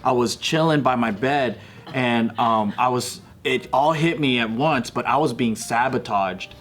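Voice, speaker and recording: A man's voice: medium pitch, unhurried, fairly bright tone. A man on a talk show chatting casually and telling stories, with plenty of swearing. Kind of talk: casual group banter, storytelling.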